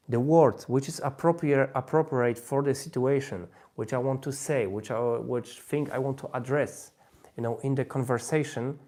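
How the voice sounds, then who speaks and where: A man from Poland, a native Polish speaker, giving an informal livestream talk with a Polish accent, halting and often rephrasing himself. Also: mid-range voice, darkish tone. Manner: halting, repeats and rephrases phrases. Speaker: host, language learner giving advice.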